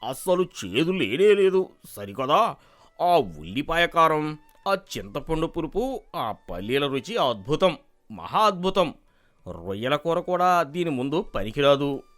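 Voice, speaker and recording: A man's voice: on the high side, sing-song, measured pace, fairly bright tone. Guy doing a big bossy village landlord, grand and exclaiming, cartoon moral tale. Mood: delighted. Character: commanding male village landlord, grand, easily delighted. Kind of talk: animated moral story dialogue.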